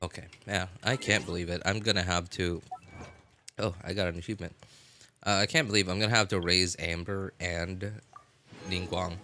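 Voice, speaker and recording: A man chatting casually on a game livestream, often halting and rephrasing as he answers viewers. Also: deep voice, fairly even intonation, bright, forceful tone, a little hiss or hum. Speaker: live streamer. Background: music.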